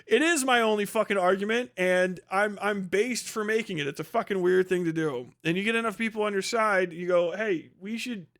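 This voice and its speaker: A high-voiced man hosts a livestream, reading posts aloud and reacting to them. His commentary is casual, full of swearing, and delivered with open sarcasm and mockery. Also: fairly quick, hardly any pauses, a bit bright. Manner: heavily profane, sarcastic, mocking. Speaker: livestream host.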